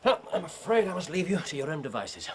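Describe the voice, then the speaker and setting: A man's voice: a little high, sing-song, quick pace, a crowd in the background. A man playing a keen, confident detective in a period radio drama, with theatrical, formal old-fashioned phrasing. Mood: brisk, assured.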